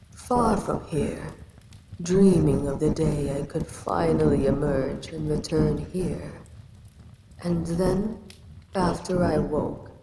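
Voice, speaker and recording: A woman voicing an ancient giant female kaiju queen who speaks down to a tiny human she calls a pest. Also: low-pitched. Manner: condescending, calls the listener pest. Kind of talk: audio roleplay acting. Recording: echoey reverb with ambient noise.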